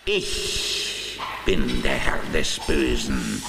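A man's voice: rumbling low, animated, drawn-out words, creaky, reverberant, noisy background, heavily acted. An actor playing an evil male villain who commands his forces, in an old audio drama clip. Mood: menacing.

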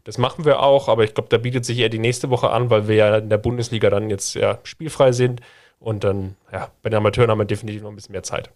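A man hosting a football podcast in casual chat, his voice stuffy and congested from a sinus infection, relaxed and a bit jokey. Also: fairly low pitch, fast. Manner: relaxed, jokey, leads the conversation. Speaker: podcast host.

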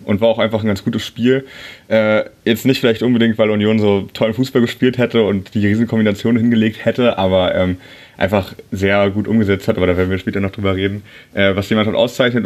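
A man who is a freelance sports journalist, talking as a podcast guest in a relaxed, hesitant way with lots of fillers. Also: on the low side. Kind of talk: casual podcast discussion.

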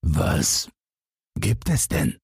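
A man voicing a huge, dim-witted storybook giant with a booming, baffled manner. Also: big pitch swings, drawn-out words, creaky, slightly rough, no echo, clean studio sound, exaggerated voice. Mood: baffled, gruff.

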